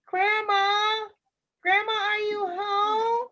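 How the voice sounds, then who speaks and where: A woman's voice: very high-pitched, flat delivery, very slow, bright, forceful tone, muffled recording, voice pushed up, full character voice. A woman voicing an innocent, polite little girl in a storybook, earnest and trusting. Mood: wondering.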